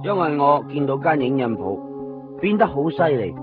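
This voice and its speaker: A excitable, anxious young Hong Kong man in a Cantonese radio drama. His colloquial delivery swings widely in pitch. Prominent music plays behind him, on a dull 1990s radio recording. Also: moderate pace. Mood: uneasy narration. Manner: colloquial, expressive. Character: excitable, anxious young man who narrates his own strange story.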